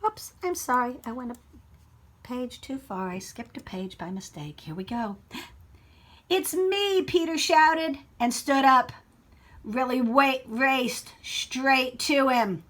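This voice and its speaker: A woman reading a story aloud to children like a teacher, expressive, with explanatory asides and questions for young listeners. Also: high-pitched. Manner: expressive, child-directed, pausing to ask questions.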